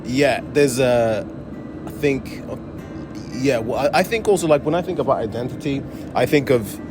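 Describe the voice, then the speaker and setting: A man's voice: medium pitch, expressive, moderate pace, music in the background. A young man with a mixed London accent, talking loosely with many fillers and laughs as a comedian guest. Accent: London English. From France, raised in Portugal and London. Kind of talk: casual interview chat.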